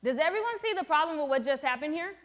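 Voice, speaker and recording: A woman's voice: high voice, animated, fast talker. A young woman in her late teens giving a senior presentation and role-playing a group facilitator, explaining the rules firmly and in a lecturing tone. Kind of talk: student presentation with a scripted role-play.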